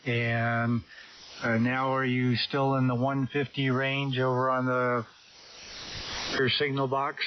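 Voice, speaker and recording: A man of middling pitch gives casual technical reports as a ham operator. His voice comes through a dull radio signal with clear hiss. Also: monotone, slow pace. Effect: ham radio transmission. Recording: dull hissy shortwave radio audio.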